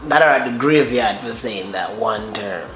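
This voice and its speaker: A man's voice: fairly low pitch, normal speed, a bit bright, echoey room, slight background noise. A man from Jamaica with a Jamaican accent, explaining his language on a casual couple vlog and repeating himself while searching for words. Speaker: co-host explaining Jamaican phrases.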